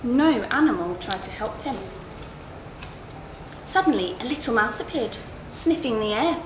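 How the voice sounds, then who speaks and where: A woman narrating a children's bedtime story in an expressive, deliberate storytelling manner with stressed words. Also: on the high side. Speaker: story reader at a school. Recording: roomy echo, clear background noise.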